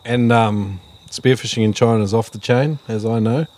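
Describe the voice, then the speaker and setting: A man's voice: mid-range voice, normal speed, darkish tone. A man hosting a casual, conversational interview, asking questions and chatting along. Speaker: interviewer, host.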